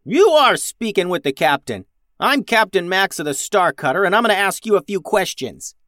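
Man playing a shouty young girl starship captain. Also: high-pitched, sing-song, normal speed, no room sound, clean studio sound, voice pushed up, heavily acted. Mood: commanding. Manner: shouty, commanding, impatient. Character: bossy, loud ten-year-old girl starship captain.

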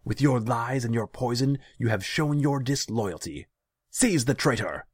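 A man voicing a cruel, hot-tempered young khan in a fantasy story, loud, indignant and imperious. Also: low voice, fairly even intonation, a little slow, no room sound, voice pushed up. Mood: commanding.

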